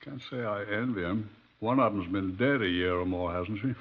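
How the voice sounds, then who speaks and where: A man's voice: on the low side, normal speed. A man acting a wry, bookish adviser in a radio drama, musing and dry. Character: wry, bookish male adviser, unhurried and droll. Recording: muffled old radio recording.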